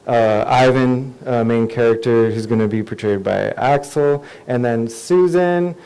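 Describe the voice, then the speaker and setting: A man's voice: mid-range voice, a little slow, warm tone, clear, resonant tone, low-quality recording. A screenwriter introducing his script to a live audience, hesitant and friendly, with many fillers.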